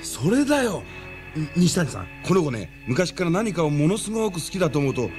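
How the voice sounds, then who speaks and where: A man playing an excitable, talkative young friend in a radio drama, explaining insistently. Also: on the high side, brisk, soft background music. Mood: excited.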